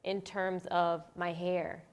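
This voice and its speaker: A woman's voice: fairly low pitch, flat delivery, slow pace. A woman on a panel, telling a personal story in a chatty way and laughing easily. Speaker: guest panelist. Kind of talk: casual panel conversation.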